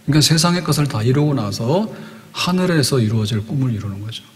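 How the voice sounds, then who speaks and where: A man's voice: mid-range voice, expressive, fast talker. A man in his sixties preaching a sermon as a pastor, explaining like a storyteller and putting rhetorical questions to his listeners. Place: church hall, indoors at a pulpit. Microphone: podium microphone. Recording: long hall reverb, church sound system.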